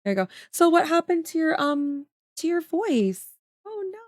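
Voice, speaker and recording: A woman talks to her stream chat as she draws, her voice moving lively up and down in pitch. She often trails off mid-sentence as her attention goes back to the work. The sound is dry and close, with no room echo. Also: normal speed. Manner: chatty, talks to viewers while working, trails off mid-sentence. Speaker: streamer drawing emotes. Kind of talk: casual chat with chat while drawing.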